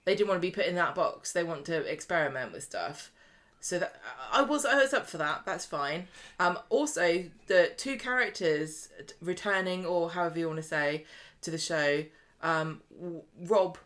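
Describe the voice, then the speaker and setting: A woman with a fairly low voice co-hosts a casual podcast. She talks informally, wandering through her thoughts as she discusses television drama. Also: lively intonation, moderate pace, a bit bright. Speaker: podcast co-host. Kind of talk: casual chat about a TV soap.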